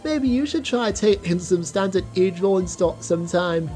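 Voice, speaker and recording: A woman voicing an earnest, excitable male steam engine in a children's story, speaking emphatically. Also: medium pitch, moderate pace, faint music behind, pitched above the performer's own voice, natural voice. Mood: cheerful.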